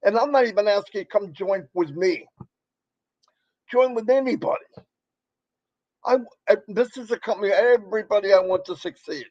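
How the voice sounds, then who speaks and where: A man with a crackly voice presenting on a live stream, giving a rambling, folksy motivational pitch and repeating points for emphasis. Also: normal speed, pauses often, darkish tone, dry recording, muffled recording.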